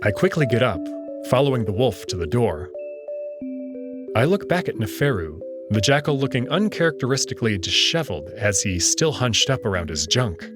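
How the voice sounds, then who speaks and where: A man's voice: mid-range voice, very animated, moderate pace, music in the background. A man narrating a first-person story in a dramatic reading style.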